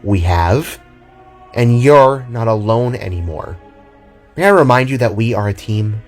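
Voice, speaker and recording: A man's voice: lowish voice, lively intonation, measured pace, faint music behind. Man voicing a determined, teasing wife. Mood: teasing resolve. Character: determined, teasing pregnant wife, female Spark.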